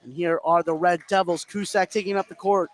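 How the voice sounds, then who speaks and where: High-pitched man calling basketball live. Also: a little fast. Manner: excitable, rising on big plays. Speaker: play-by-play sports commentator. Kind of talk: live basketball radio commentary. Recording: clear background noise, gym ambience.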